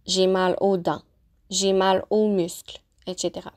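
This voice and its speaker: Woman teaching slowly. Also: medium pitch, little pitch movement, a little mellow. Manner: slow, carefully articulated example phrases. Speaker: French-as-a-second-language teacher. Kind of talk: clear, deliberate vocabulary lesson narration.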